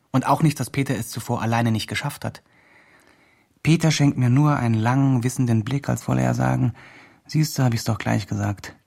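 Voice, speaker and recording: Casting: earnest activist narrator, medium-pitched voice, declarative first-person radio drama delivery. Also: male voice, gravelly, a little mellow. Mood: resigned, reflective.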